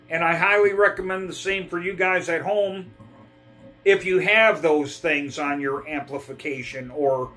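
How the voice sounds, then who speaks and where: A middle-aged man giving a guitar lesson in a rambling, digressive way, often clearing his throat. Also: on the high side, steady tone, a little slow, long pauses, pushed and bright. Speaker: guitar teacher. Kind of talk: casual guitar lesson talk.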